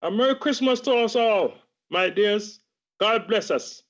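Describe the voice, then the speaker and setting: A man playing a gentle, devoted and humble Victorian father, mild and earnest, in a radio play over a video call. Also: sits high, expressive, unhurried. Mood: mild, warm-hearted. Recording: dull lo-fi video call audio.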